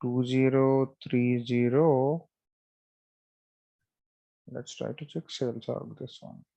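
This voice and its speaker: A male trainer with a mid-pitched voice walks through a software tutorial step by step. He talks as he works, in short halting phrases, often repeating himself as he thinks aloud and instructs. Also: fairly even intonation, gravelly, highs cut off.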